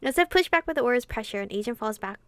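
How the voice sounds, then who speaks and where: A woman's voice: fairly high pitch, quick pace, dry recording. A woman hosting a let's-play, reading visual novel dialogue aloud and reacting theatrically with plenty of swearing. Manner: expressive, foul-mouthed, theatrical reactions.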